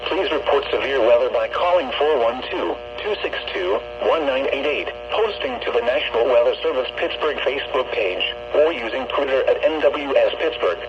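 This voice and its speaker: A synthetic man's voice reading a scripted weather warning bulletin in a formal, flat, clipped way as a radio announcer. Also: mid-range voice, moderate pace, vocal fry. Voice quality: synthetic text-to-speech voice. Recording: muffled, noisy weather radio with hum.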